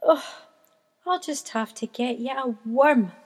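A woman with a Scottish accent voicing a patient but weary mother seagull who speaks gently and firmly. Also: sits high, takes it slowly, breathy edge, in a large hall, heavily acted. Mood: gentle.

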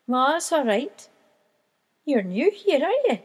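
A friendly young female gull quizzes a newcomer in a forthright, bouncy voice, as a Scottish woman puts her on. Her pitch sits high and swings freely, a bright storybook bird. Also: sing-song, takes it slowly, big-room sound, full character voice.

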